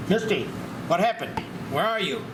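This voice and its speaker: A man's voice: sits high, sing-song, moderate pace, reverberant, very noisy. A man reading out short character lines at a screenplay table read.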